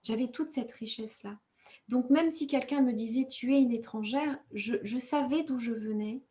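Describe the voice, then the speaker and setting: A woman from Rwanda whose first language is Kinyarwanda answers interview questions as an author. She speaks in a fairly high voice, reflective and measured, often pausing and correcting herself as she builds her thoughts. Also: mellow. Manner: thoughtful, measured, with frequent hesitations and self-corrections.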